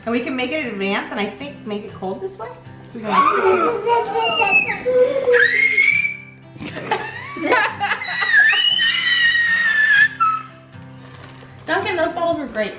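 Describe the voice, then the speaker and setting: A woman's voice: high-pitched, very animated, fast talker, few pauses, echoey room, over loud music. A woman, chatting in a sing-song way with young children. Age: 30s. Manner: sing-song, talking to little kids and dog. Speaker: mom in a family vlog. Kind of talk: casual chat with young children.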